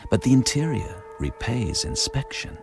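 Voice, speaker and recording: An actor playing a solemn, bookish narrator who reads slowly and deliberately in a radio drama. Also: male voice, mid-range voice, sing-song, creaky, over music. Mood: solemn, measured. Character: solemn male narrator reading an old church description. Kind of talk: slow scripted narration of a ghostly tale.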